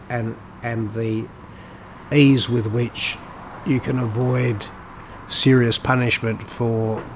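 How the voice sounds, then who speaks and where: A man giving reflective commentary on his poetry in formal, deliberate, halting phrases. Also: on the low side, background noise. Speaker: poet and lawyer discussing his own work.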